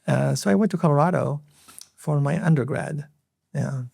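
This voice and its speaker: A man in his fifties from Lebanon, an Arabic speaker, recounting his life in an earnest, reflective interview with many filler pauses. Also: on the high side, vocal fry, a little mellow, husky, no room sound. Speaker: hospital CEO, podcast guest. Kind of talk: interview storytelling.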